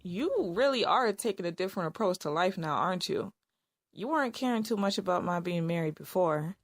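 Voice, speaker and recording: A woman's voice: on the low side, expressive, fairly quick, no room sound, studio quality. An actress playing a young married woman in an audio drama, narrating and talking in a wry, conversational way. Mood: earnest. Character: Iris, weary young married woman narrating her own story. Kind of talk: first-person audio drama narration and dialogue.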